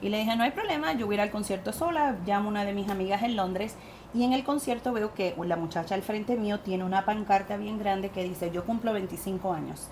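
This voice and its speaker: A woman with a Puerto Rican accent, a guest medium telling her story in an animated interview. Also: medium pitch, brisk, a little hiss or hum. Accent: Puerto Rican Spanish. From Puerto Rico.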